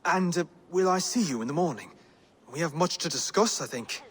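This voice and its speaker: An actor playing an earnest, polite Saxon lord who tries to keep the peace in a video game. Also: male voice, a little high, lively intonation, a little fast, husky. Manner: formal, polite.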